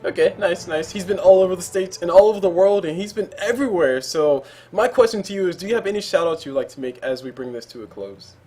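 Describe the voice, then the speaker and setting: A man's voice: high voice, animated, fast talker, few pauses, darkish tone, slightly rough. A man hosting a casual interview, chatty and joking, laughing often. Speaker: interview host.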